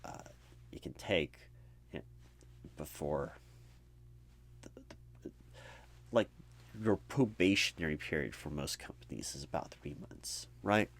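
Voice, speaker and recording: A man with a very low voice and heavy vocal fry plays a recruiter in a chatty ASMR roleplay. He rambles on with little energy, sounding apathetic and jaded, with a sardonic edge. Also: very animated, measured pace, long pauses. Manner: rambling, sardonic asides mimicking corporate talk. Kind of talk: conversational ASMR roleplay monologue.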